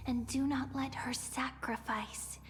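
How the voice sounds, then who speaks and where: Slow, reverberant, solemn guiding woman. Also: high-pitched, fairly even intonation, fairly bright tone. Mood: solemn, tender. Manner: slow, solemn, tender encouragement. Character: solemn gentle spirit-guide woman urging onward. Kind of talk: scripted video game character acting. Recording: long reverb with music underneath.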